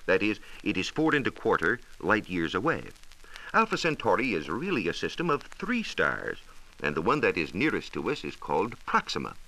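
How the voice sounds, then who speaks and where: An American man explaining science in a measured, precise, didactic way, in a scripted 1940s radio style. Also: on the low side, wildly swooping pitch, sharp tone, rough recording. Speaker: science reporter explaining astronomy. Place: radio studio. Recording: hissy 1940s radio transcription disc.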